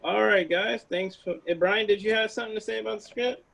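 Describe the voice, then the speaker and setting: A man's voice: high-pitched, fairly even intonation, fairly quick, vocal fry, fairly bright tone. A man casually moderating a group discussion and chatting with the others. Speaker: moderator of the table read. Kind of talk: casual chat.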